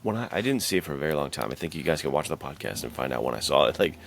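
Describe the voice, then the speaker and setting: A man's voice: rumbling low, sing-song, very fast, creaky, a little hiss or hum. A man co-hosting a podcast in casual, enthusiastic chat, retelling things with slangy emphasis. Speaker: podcast co-host.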